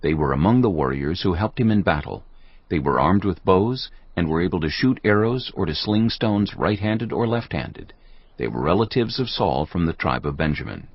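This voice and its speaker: A man narrating scripture from a script in a measured, solemn manner. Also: deep voice, vocal fry, dull audio. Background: background music.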